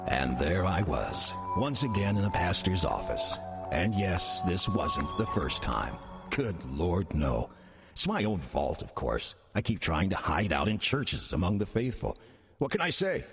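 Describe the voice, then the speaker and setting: An actor playing a weary, sardonic demon posing as a church deacon in an audio drama, dry, urbane and condescending. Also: male voice, exaggerated voice. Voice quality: husky, low voice. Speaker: narrator and lead character of audio drama. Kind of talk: audio horror-comedy drama acting.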